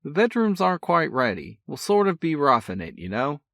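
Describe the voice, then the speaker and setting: A man reading a story, voicing a boisterous, excitable older brother who talks loud and folksy. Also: fairly high pitch, normal speed, vocal fry, no echo, clean studio sound, voice pushed up, heavily acted. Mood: excited. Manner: loud, folksy, keeps calling his brother kid. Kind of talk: audiobook character voice.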